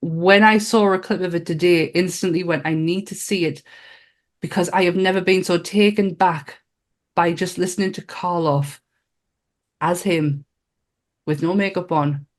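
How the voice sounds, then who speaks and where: A woman's voice: lowish voice, fairly even intonation, moderate pace. A woman with a Northern English accent, co-hosting a casual podcast chat in a frank, reactive way. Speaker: podcast co-host.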